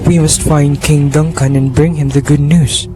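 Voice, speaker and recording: A man playing a proud war general in an amateur radio drama, speaking in a declamatory, deliberate way. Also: on the high side, little pitch movement, over music, natural voice. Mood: triumphant. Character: proud Scottish war general, startled and disbelieving.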